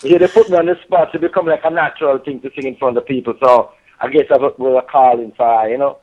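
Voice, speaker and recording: A man with a Jamaican accent, a reggae singer being interviewed, talking in a relaxed way with lots of "you know" and "yeah, man". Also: medium pitch, fast talker, highs cut off. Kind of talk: casual interview over a call.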